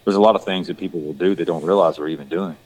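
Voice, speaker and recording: Deep-voiced man with Southern country accent. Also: rapid-fire, darkish tone, low-quality recording. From Georgia, USA. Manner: rambling, repeats words and restarts, says "you know" often. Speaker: actor guest. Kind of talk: casual podcast chat.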